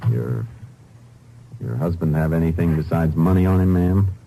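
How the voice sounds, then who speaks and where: Calm Texas Ranger investigator, low register, clipped businesslike delivery, 1950s radio crime drama. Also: male voice, normal speed, vocal fry, a little hiss or hum. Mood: businesslike, probing. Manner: terse, businesslike questioning. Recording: old 1950s radio recording with music.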